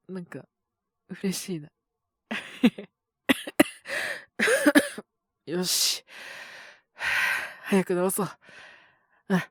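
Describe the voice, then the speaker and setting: A man's voice: sits high, extremely slow, long pauses, husky, no room sound, clean studio sound, heavily acted. A man acting an earnest, polite young junior coworker who is sick with a cold, coughing and sighing in an intimate Japanese voice drama. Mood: quietly happy. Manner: polite and deferential on the phone, sighing, murmuring to himself.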